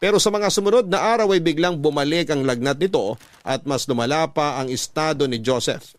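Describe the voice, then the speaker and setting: Higher-pitched Filipino male radio storyteller. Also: a little fast, dry recording. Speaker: narrator reading a letter in first person. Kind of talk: scripted storytelling narration, classic radio style. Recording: music under the voice.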